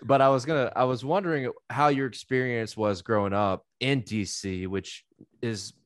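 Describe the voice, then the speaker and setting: A man's voice: mid-range voice, steady tone, moderate pace, clean tone, no echo, studio quality. An American man in his twenties from South Mississippi, co-hosting a casual chat. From South Mississippi, United States. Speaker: podcast host.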